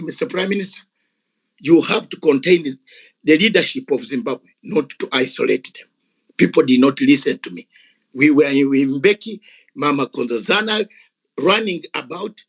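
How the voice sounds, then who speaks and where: A man's voice: on the high side, low-quality recording. An older man speaking Ugandan English, giving an impassioned, unscripted speech at a gathering. He is fiery and emphatic, repeating words for force. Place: gathering of activists.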